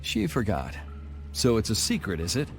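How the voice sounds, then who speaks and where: A man's voice: lowish voice, wildly swooping pitch, normal speed. An actor playing a cool, wry young swordsman with a casual, teasing way in a video game. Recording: music under the voice.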